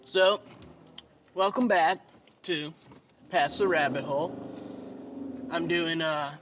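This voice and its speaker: A man's voice: a little high, slow pace, background noise. A man hosting a casual solo podcast, rambling and self-deprecating, with a whiny, nasal voice and free swearing. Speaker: podcast host.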